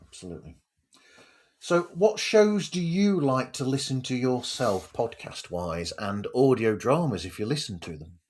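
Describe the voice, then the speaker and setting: A man's voice: medium pitch, animated, normal speed, darkish tone. A man hosting a podcast interview in a casual, conversational style.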